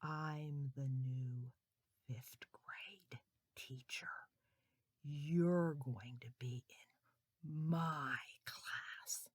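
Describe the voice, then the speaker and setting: A woman's voice: fairly even intonation, breathy edge, dry recording, studio quality, exaggerated voice. Woman playing a stern scolding schoolteacher. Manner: scolding, then low and slow. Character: stern new female schoolteacher, cross and suspicious.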